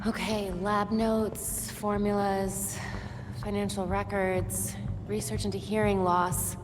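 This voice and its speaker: A woman's voice: medium pitch, flat delivery, slow, over music, close to an everyday voice. Game casting: actress as no-nonsense young woman tech expert, mid register, reverberant space. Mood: focused, dry. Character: brisk, practical young female tech-expert vigilante. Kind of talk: video game cutscene acting.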